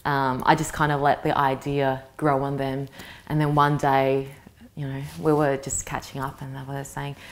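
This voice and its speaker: A woman in her thirties whose first language is Vietnamese, giving a thoughtful interview answer and often pausing to search for words. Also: a bass voice, completely flat delivery. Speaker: interviewee, actress. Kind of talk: reflective personal interview talk.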